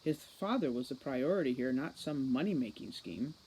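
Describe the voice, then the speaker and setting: A woman reading an audiobook novel aloud in an expressive storytelling style. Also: a bass voice, moderate pace, slightly rough, low-quality recording. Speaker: audiobook reader. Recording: faint background noise.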